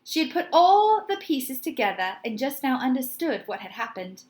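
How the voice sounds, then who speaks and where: A woman's voice: sits high, big pitch swings, normal speed, a little mellow. A woman reading a children's storybook aloud expressively, like a teacher putting questions to young listeners.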